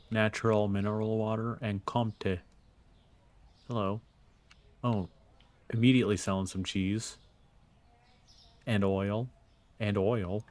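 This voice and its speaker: A man casually commentating while he plays a store management game, muttering his thoughts aloud in a laid-back way. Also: fairly low pitch. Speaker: gameplay video host. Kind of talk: casual let's play commentary.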